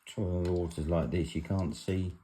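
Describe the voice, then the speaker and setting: A man's voice: low-pitched, fairly even intonation, fairly quick, heavy background noise. A man giving a casual home demonstration in a rambling, matter-of-fact way, like a vlogger in his kitchen.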